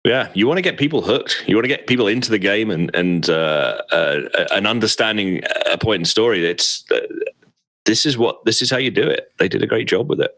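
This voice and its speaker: Man, podcast guest, lowish voice, hesitant, lots of uhs. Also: animated, brisk, a bit bright. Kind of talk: casual gaming podcast chat.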